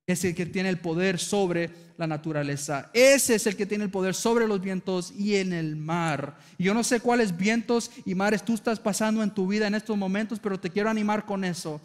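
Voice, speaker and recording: A man's voice: sits high, moderate pace, hardly any pauses. A man with an Argentine accent preaching a sermon as pastor, speaking earnestly and calling on the congregation to answer amen. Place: church sanctuary. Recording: reverberant church hall.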